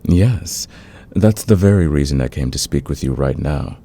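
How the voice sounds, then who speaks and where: A man playing a smug, flirtatious fantasy villain in a romantic ASMR roleplay, teasing and intimate with a husky, gravelly voice. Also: rumbling low, animated, warm tone, exaggerated voice. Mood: confiding, smitten. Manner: teasing, intimate, drawn-out confiding delivery. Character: flirtatious, smug male fantasy villain, secretly smitten rival.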